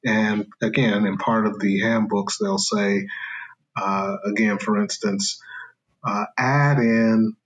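Male scholar guest, low husky voice, careful lecturing delivery. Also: animated, takes it slowly, rough recording. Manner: careful, lecturing, with fillers and restarts. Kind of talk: explaining in a podcast interview.

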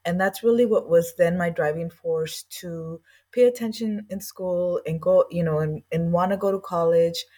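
A woman with a somewhat low voice sits as a podcast guest and talks through her own story. She speaks in a casual, earnest and reflective way, with loose and chatty phrasing. She grew up in East Los Angeles. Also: normal speed, few pauses, dark tone. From East Los Angeles, USA. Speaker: guest, language school founder.

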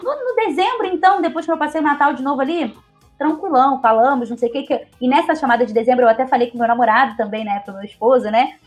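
A Brazilian woman in her twenties, a guest telling her own story in a relaxed, chatty way. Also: sits high, fast, soft background music. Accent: Brazilian Portuguese. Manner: chatty, leans on tag questions. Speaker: interview guest. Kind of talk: casual personal storytelling.

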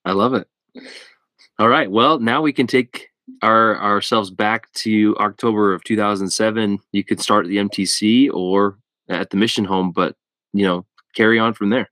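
Male podcast host, bright forceful tone, dry sound, gives short encouraging prompts. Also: lowish voice, fairly even intonation, moderate pace, dry recording. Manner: encouraging, brief reactions. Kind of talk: interviewing.

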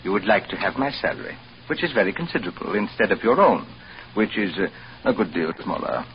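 Villainous boss for radio drama: pompous manager, lowish and lively, coolly threatening, muffled vintage broadcast. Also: male voice. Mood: cold, commanding. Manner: slow, formal, condescending, deliberate. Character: pompous, menacing, domineering older male hotel manager. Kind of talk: old-time radio drama acting. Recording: dull, faintly noisy old radio recording.

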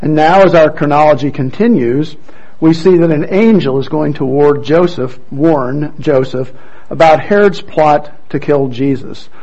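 A man introducing scripture readers at a church program, speaking in a relaxed way with light asides, on a muffled recording. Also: a little high, a little slow. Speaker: worship leader introducing readers. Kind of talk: church service emcee.

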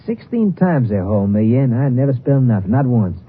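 An American actor playing an eager small-time crook in a 1950s radio drama, with slangy, uneducated, insistent speech. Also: male voice, mid-range voice, sing-song, fairly quick, natural voice. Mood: friendly, confiding. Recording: tinny, noisy 1950s radio recording.

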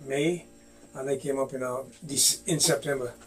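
A man's voice: mid-range voice, steady tone, moderate pace. An older man from Guyana with a Guyanese accent, telling stories from his youth in a casual chat and laughing often. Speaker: guest, host's father.

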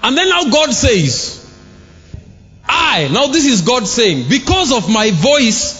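A man preaching a sermon, emphatic, rising to shouts and dropping low for asides. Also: big pitch swings, slow pace. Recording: live music under a long hall reverb.

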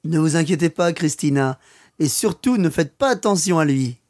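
A man's voice: fairly high pitch, lively intonation, a little fast, a little mellow, no echo, close to an everyday voice. A man plays a French father in a radio play for learners. He is friendly and reassuring, talking over dinner in a close, dry sound. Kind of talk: scripted language-course radio play.

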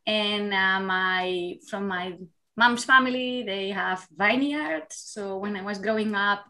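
Brief: candid woman, thirties, podcast guest, reflective, laughs easily, mid-pitched. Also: slow pace, sharp tone. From Spain. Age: 30s. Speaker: guest, university lecturer. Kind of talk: casual interview chat.

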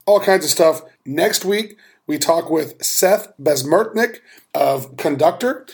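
A man co-hosting a podcast in a casual, jokey manner, his voice slightly hoarse. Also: a little high, expressive, slow. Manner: jokey, playful. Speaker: podcast co-host. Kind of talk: casual chat.

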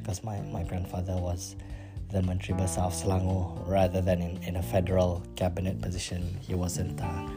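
A man from Malaysia hosting a podcast, talking in a relaxed, chatty way with lots of fillers. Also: low-pitched. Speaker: podcast host. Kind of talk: casual spoken intro and interview. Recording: guitar music under the voice.

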